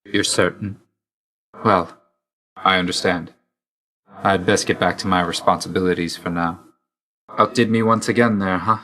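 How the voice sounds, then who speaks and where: A man's voice: deep voice, fairly even intonation, normal speed, long pauses, bright, forceful tone. A man voicing a grim, reserved god of death in a video game, speaking tersely and solemnly with dry loyalty. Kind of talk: scripted video game voice lines.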